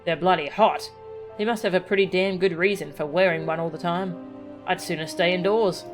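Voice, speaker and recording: A woman's voice: lowish voice, steady tone, brisk, music in the background, close to an everyday voice. A woman reading out a blunt, gossipy working-class local from a video game in a colloquial, chatty way.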